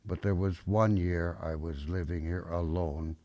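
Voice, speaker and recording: An actor playing a grumpy, soft-hearted old grandfather in a radio drama, hesitant and folksy. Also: low voice, steady tone, a little slow, highs cut off. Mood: wistful. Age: older.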